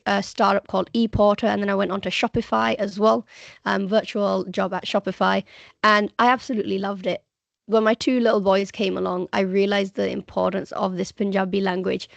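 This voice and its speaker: A woman teaching the Punjabi language who talks in a chatty, enthusiastic way, with a croaky voice today, speaking on a video call. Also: mid-range voice, dry recording. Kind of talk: casual presentation on a video call. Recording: dull, lo-fi video call audio.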